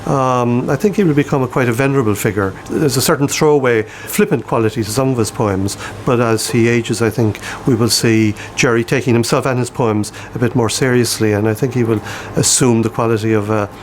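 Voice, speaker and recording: A man speaking as a publisher in a reflective interview, choosing his words in a considered, halting way. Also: mid-range voice, a little hiss or hum. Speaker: publisher interviewed about a poet.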